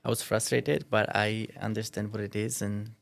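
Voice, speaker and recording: A man from Armenia, a school language department head, telling stories in a measured, reflective way on a casual podcast. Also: lowish voice, little pitch movement, vocal fry. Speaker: ELD head teacher.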